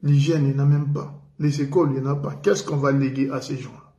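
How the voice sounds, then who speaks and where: A man's voice: mid-range voice, level tone, fast talker, warm tone, echoey room. A man from the Republic of the Congo giving an indignant political commentary on community radio. He repeats himself for emphasis and speaks with feeling. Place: living in France.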